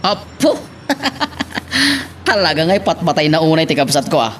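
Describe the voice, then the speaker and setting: Man acting cheeky young farmhand, animated. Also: a little high, measured pace, a little hiss or hum. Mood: mocking, amused. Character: cheeky, teasing young adult child of a farmer. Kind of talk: Ilocano audio drama acting.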